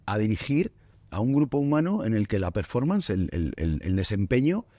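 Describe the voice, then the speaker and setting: Deep-voiced, mellow man hosting a podcast. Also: no echo. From Spain. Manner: relaxed, halting, many restarts and fillers. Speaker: podcast host. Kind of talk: casual interview chat. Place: studio.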